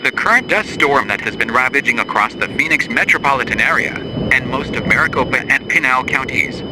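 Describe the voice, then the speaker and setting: Man, emergency alert announcer, formal measured reading, mid-pitched, loud background noise. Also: lively intonation.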